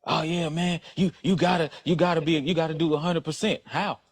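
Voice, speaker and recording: A man's voice: fairly high pitch, fairly even intonation, a little fast. A man arguing heatedly and indignantly, firing repeated rhetorical questions. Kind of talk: heated argument.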